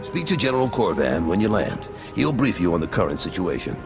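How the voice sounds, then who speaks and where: Deep, creaky military officer. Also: male voice, very animated, brisk, music in the background, exaggerated voice. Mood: brisk, matter-of-fact. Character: gruff military officer greeting and briefing newcomers.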